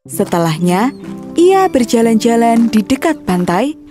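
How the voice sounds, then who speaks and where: A woman's voice: on the high side, big pitch swings, unhurried, music in the background. A woman narrating a scripted children's story.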